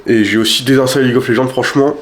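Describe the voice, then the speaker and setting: A man's voice: medium pitch, steady tone, very fast. A young man vlogging casually about his week, rambling with lots of fillers and restarts, sounding stuffy from a cold. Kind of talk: casual weekly vlog chat.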